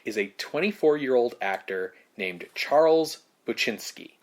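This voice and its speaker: A man co-hosting a podcast, narrating prepared film history in measured phrases with casual asides. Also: medium pitch, animated, slight background noise. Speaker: podcast co-host.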